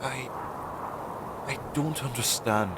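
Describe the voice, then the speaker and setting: Crow familiar, acted by a man, insecure and earnest, unhurried halting delivery, for fantasy audio roleplay; clearly noisy recording. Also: medium pitch, lightly acted. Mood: worried. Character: anxious male crow familiar, insecure, tender, bonded to a witch. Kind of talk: audio roleplay addressed to the listener.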